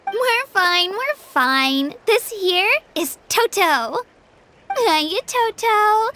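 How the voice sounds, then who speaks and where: A woman's voice: very high voice, expressive, extremely slow, sharp tone, slight background noise, full character voice. A young woman voicing a cheerful, earnest young girl guide in a video game, friendly and reassuring. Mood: playful, gentle. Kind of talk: video game dub acting.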